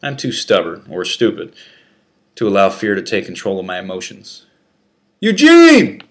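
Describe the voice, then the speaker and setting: A man with a low-pitched voice reads a first-person prison memoir aloud. His delivery is deliberate and dramatic, like a narrator working from a script. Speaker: narrator reading an article.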